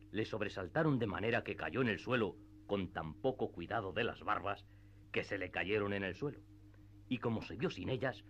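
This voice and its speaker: A man's voice: on the low side, fairly bright tone, dull audio, a little hiss or hum. A man narrating a classic literary tale as the scripted narrator of a radio theatre piece.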